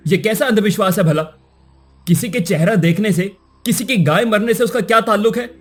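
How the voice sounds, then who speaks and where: A man's voice: sits high, brisk, soft background music. A man voicing a kind, righteous young prince in a cartoon story, earnest and formal. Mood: indignant. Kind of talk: cartoon moral story voice acting.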